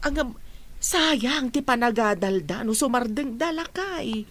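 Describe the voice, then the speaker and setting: A woman's voice: on the high side, animated, unhurried. A woman playing a worried village wife and mother who defends her children in a radio drama.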